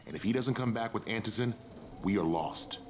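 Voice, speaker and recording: Casting: male actor for blunt game survivor who hands out jobs, lowish male voice, sardonic, faint ambience behind. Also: lively intonation, a little fast, slight background noise, natural voice. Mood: serious, instructive. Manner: curt, sardonic, instructive. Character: gruff, no-nonsense male survivor handing out jobs. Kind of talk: video game dialogue acting.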